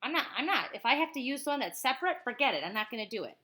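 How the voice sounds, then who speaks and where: A woman voicing a quietly defiant grown woman in a children's storybook, refusing firmly in plain words. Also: high-pitched, very animated, rapid-fire, exaggerated voice. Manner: firm, plain-spoken refusal.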